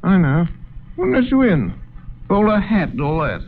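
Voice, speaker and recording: An actor playing a drunken, rambling in an old radio drama, with a slurred, nosy manner. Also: male voice, high voice, wildly swooping pitch, normal speed, a little hiss or hum, exaggerated voice. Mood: tipsy. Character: drunken upper-class Englishman, rambling and nosy. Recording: tinny lo-fi old radio recording.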